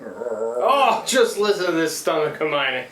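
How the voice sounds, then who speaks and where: Folksy, lively river boat pilot played by a man. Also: on the high side, unhurried. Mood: sheepish. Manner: folksy, ungrammatical, rambling speech with hesitations. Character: rough-spoken, easygoing Canadian river boat pilot, a man. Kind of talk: live radio play acting. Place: live stage performance in a room. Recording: roomy live stage recording, faint noise.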